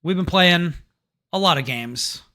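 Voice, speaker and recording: A tired man hosting a casual podcast, joking and chatting in a relaxed way. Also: high-pitched, a little slow. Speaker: podcast host.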